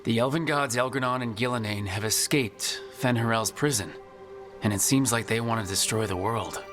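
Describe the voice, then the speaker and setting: Guy voicing a calm young rpg leader, dry and measured, normal pitch, faint music behind. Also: natural voice. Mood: grave, dry. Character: level-headed young male adventurer leading a rescue party. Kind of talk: video game hero acting.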